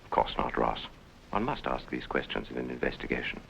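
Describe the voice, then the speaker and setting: An actor playing a dignified, anxious lord and devoted husband, formal and grave in an old-time radio drama. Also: male voice, rumbling low, sing-song, brisk, gravelly, fairly bright tone, slight background noise, full character voice. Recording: dull old radio recording with music.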